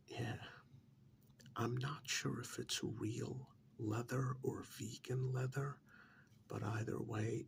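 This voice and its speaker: A man playing a smug, pampered captive in a soft-spoken ASMR role-play, drawling sardonically with long pauses and feigned innocence. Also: fairly low pitch, heavily acted. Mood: sardonic, smug. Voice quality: soft, half-whispered, gravelly.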